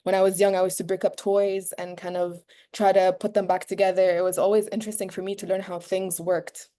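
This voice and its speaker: A woman's voice: lowish voice, dark tone. A young woman from Egypt giving an earnest, casual talk over a video call, with many fillers and restarts. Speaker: guest speaker.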